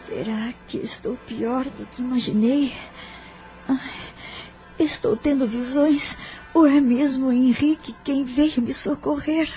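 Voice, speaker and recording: A woman's voice: high voice, fairly even intonation, slow, warm tone, faint music behind. A woman playing a proud, dramatic female patient in pain in an audio novel. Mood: astonished, pained. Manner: mocking, dramatic. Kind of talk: audio novel character acting.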